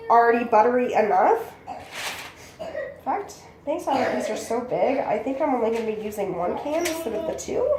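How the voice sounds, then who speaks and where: Congested woman chatting in an echoey kitchen. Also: on the high side. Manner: chatty and rambling, talks while working. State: congested with bronchitis. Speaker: cooking channel host. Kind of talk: casual cooking demonstration. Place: small home kitchen, indoors. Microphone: camera mic from a few feet away. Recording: echoey kitchen with background noise.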